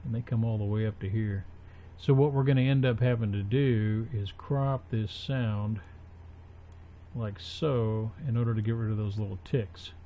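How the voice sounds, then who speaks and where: A man with a lowish voice talks slowly through a casual software tutorial. He is halting and unscripted, thinking aloud as he works. The recording is dull and lo-fi, with faint noise behind him. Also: steady tone. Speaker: tutorial presenter.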